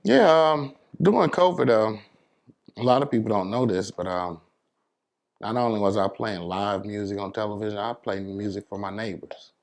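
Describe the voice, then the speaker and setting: Low-voiced American man, casual and colloquial. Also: moderate pace, creaky, darkish tone. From New York City, USA. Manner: colloquial, peppered with "you know what I'm saying". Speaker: DJ, interview guest. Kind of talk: casual interview talk.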